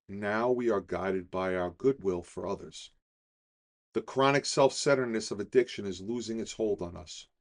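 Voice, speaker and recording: A middle-aged man with a lowish voice reads a daily recovery meditation aloud. He is calm and deliberate, and he slows down to let key phrases land. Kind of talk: measured scripted reading.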